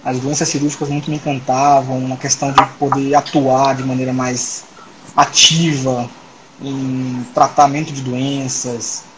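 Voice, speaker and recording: A man in his thirties from Salvador, speaking over a home video call where a steady hiss runs under his voice. His voice sits at an ordinary middle pitch. He talks in a relaxed, chatty way. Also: a little slow. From Salvador, Bahia, Brazil. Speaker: guest surgeon. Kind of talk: casual interview chat over a video call.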